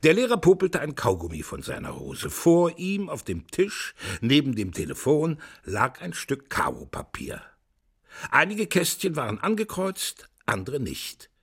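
A man narrating a children's radio play in scripted storytelling style, with a husky voice. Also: on the high side, huge pitch swings, unhurried, full character voice.

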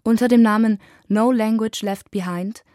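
Female radio reporter, mellow tone, dry studio sound, measured scripted read. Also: on the high side, fairly even intonation, no echo.

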